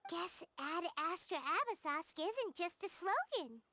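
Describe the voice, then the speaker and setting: A woman's voice: extremely high pitch, sing-song, measured pace, pushed and bright, full character voice. A woman voicing a small childlike companion in an anime fantasy game. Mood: curious. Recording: game music under the voice.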